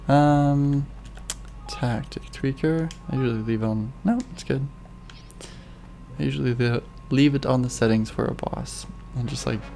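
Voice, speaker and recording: A man streaming a game, rambling through casual gameplay commentary with a hoarse voice he is losing and thoughts that trail off. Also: medium pitch, a little mellow. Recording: faint game music behind.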